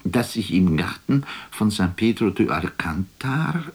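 An actor playing a wistful, weary poet, musing lyrically in a measured radio drama monologue. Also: male voice, lowish voice, lively intonation, slightly rough. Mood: animated imagining. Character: wistful, weary male poet imagining a phone call.

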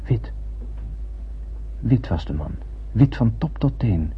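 A young male sailor of the stars, earnest and poetic, with a lowish voice. The recording is muffled, with a noticeable hum behind him. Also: big pitch swings, slow. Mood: awed, brooding. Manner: earnest, poetic, literary delivery. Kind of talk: radio drama acting. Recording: muffled radio play, clear background hum.

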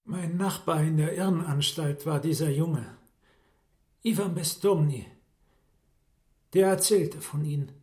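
Broken, resigned writer male actor for radio play: fairly high voice, subdued and weary. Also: measured pace, pauses often, a little mellow, natural voice. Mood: wary, polite. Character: sick, broken, gloomy writer, gently resigned.

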